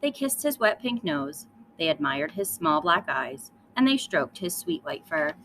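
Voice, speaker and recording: Female children's read-aloud narrator: expressive, highly animated delivery, slightly noisy recording. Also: unhurried. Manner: dramatic emphasis. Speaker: storybook reader.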